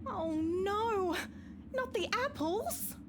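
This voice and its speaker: A woman's voice: extremely high pitch, expressive, drawn-out words, background noise, full character voice. A woman playing a timid, childlike horse of Death in a comic radio play, anxious, hesitant and innocent. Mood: timid, fretful.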